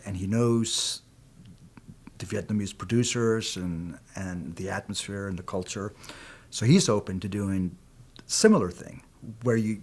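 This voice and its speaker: A middle-aged man from Peru, a native Spanish speaker, speaks about his work as a film producer in a low, unhurried voice. He talks in measured, reflective stretches and often adds "you know" as he works through a thought. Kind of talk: interview answers.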